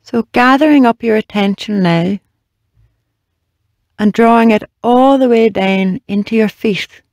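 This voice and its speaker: A meditation guide leading a body scan in a slow, measured, gently instructing way with long pauses. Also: medium pitch, no echo.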